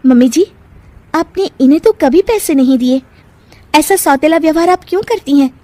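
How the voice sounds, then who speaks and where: A woman voicing a defiant, sharp-tongued young newlywed daughter-in-law in a cartoon family drama, feisty and argumentative. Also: sits high, moderate pace, dry recording, pitched above the performer's own voice, natural voice. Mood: resentful.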